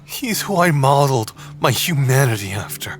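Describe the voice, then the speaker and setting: A man playing a big, earnest, lovelorn tree being, despondent and drunk, speaking in a brooding, halting way in an audio drama. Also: mid-range voice, sing-song, close to an everyday voice. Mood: anguished. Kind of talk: emotional audio drama acting. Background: music.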